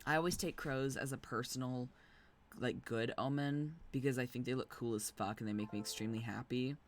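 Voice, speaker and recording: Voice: mid-range voice, steady tone, fairly quick. A streamer reading a bedtime story aloud, with casual, sweary, chatty asides to viewers. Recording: music playing behind the voice. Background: background music.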